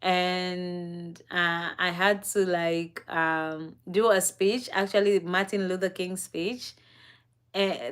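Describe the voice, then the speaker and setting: A young woman from East Africa telling a personal story on a live stream in a reflective, unhurried way, with frequent fillers and soft laughs. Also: medium pitch.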